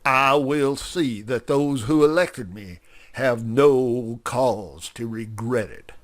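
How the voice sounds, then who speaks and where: A man's voice: medium pitch, slow, natural voice. A man voicing a stiff, self-important male woodpecker politician who speaks formally and pompously. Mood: orating.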